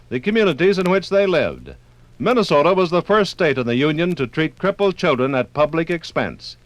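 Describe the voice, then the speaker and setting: A man with an American accent reading scripted 1950s radio documentary narration in a formal, measured way with dramatic emphasis. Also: fairly high pitch, no room sound. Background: faint music.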